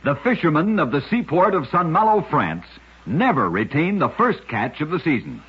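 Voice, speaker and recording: A man hosting an old-time radio program, narrating short scripted oddities in a husky, measured, dramatic announcer's delivery. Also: fairly high pitch, sing-song. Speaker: radio host and storyteller. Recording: muffled, dull old radio recording.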